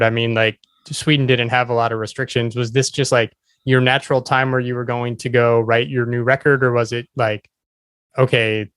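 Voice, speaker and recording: Male podcast host, medium pitch, conversational questioning, very little room sound. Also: fairly bright tone, no echo. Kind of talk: casual interview chat.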